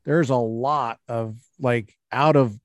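A man's voice: mid-range voice, fairly even intonation, slow pace, no echo, clean studio sound. A man hosting an interview, asking curious and playful questions in casual conversation. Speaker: host.

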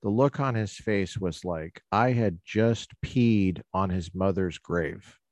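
A man hosting a podcast who tells a personal story in an animated, casual way, often restarting his sentences. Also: deep voice, slow, dry recording. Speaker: podcast host.

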